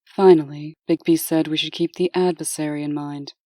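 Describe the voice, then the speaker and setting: A woman's voice: low-pitched, fairly even intonation, normal speed, dark tone, dry recording, studio quality, natural voice. A woman playing a cool, businesslike, exasperated deputy in a comic dub, terse and skeptical. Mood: measured, dutiful. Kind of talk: comic dub character acting.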